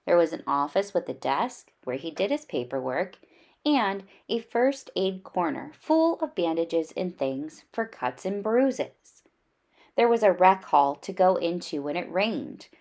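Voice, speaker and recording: A woman narrates a children's storybook at a slow, unhurried pace. Her pitch moves up and down in a lively way, and she reads expressively, leaning on key words. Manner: unhurried, animated reading with emphasis. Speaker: children's read-aloud storyteller.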